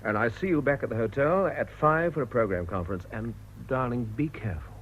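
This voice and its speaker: An actor playing a brisk, inquisitive organiser with a British accent and a dramatic, probing manner in a radio drama. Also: male voice, mid-range voice, lively intonation, natural voice. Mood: decisive. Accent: British English. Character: brisk, inquisitive man in charge, urbane organiser. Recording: dull lo-fi radio recording with noise.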